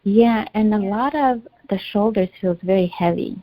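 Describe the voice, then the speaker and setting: A woman's voice: medium pitch, moderate pace, dry recording, lo-fi sound. A woman whose first language is Albanian, sharing her struggles with a healer in a hesitant voice, often searching for words. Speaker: client in a healing session. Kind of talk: personal sharing in a one-on-one session.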